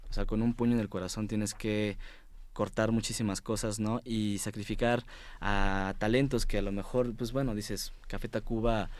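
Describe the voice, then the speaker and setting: A man's voice: on the low side, steady tone, normal speed, a little mellow. A man with a Mexico City accent speaking casually as an interview guest, hesitating, repeating words and often tagging phrases with a questioning "no?". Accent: Mexico City Spanish. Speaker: interview guest, music writer and editor. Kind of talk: casual radio-style interview.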